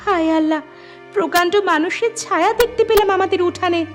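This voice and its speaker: A woman voicing an affectionate, excitable grown daughter in a cartoon, speaking sweetly and eagerly. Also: very high voice, fairly quick, soft background music. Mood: astonished. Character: affectionate, excitable grown daughter visiting her village father. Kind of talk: cartoon voice acting.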